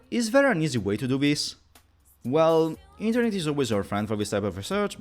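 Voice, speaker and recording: A man narrating a scripted explainer video in an emphatic, conversational way, posing rhetorical questions as he goes. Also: medium pitch. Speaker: YouTube video essayist and presenter.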